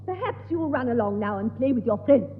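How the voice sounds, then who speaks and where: A woman's voice: a little high, lively intonation, a little fast, phone-quality sound, natural voice. A woman playing a haughty, condescending, disapproving middle-aged lady in a 1960s British radio sitcom. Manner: stiff, condescending. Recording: thin, reverberant old radio recording with hiss.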